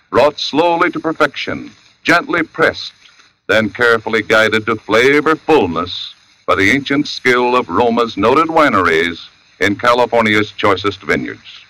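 A man's voice: medium pitch, bright, forceful tone, rough recording. A man reading a 1940s radio advertisement as a polished, emphatic commercial announcer.

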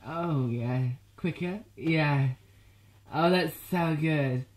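A woman's voice: sits low, extremely slow, a little mellow, echoey room, poor audio. A woman voicing a flirty, teasing self-checkout machine with suggestive moans.

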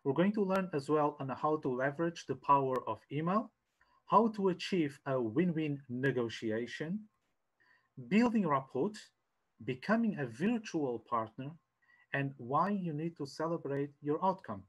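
A man, giving an informal online presentation as a sales coach in a hesitant, halting way, repeating words, on a headset. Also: fairly high pitch, lively intonation, breathy edge, poor audio. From Portugal. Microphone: headset on a video call.

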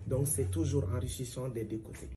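A middle-aged woman from Mali, a singer and griot, giving measured, reflective interview answers with pauses. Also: very deep voice, fairly even intonation, mellow, a little hiss or hum. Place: plain indoor room, seated at a table.

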